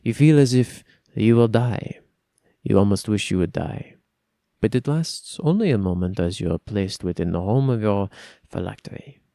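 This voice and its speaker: A brooding necromancer of a cursed bloodline, as a man puts him on, speaking with a subtle French accent. The voice is hushed and slow, with a grave, mournful weight. It is recorded close and dry, with no room sound. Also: on the low side, lively intonation, creaky, no room sound. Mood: hushed, grim. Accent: put-on subtle French. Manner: hushed, slow, solemn delivery. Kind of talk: dramatic reading of a first-person lore piece.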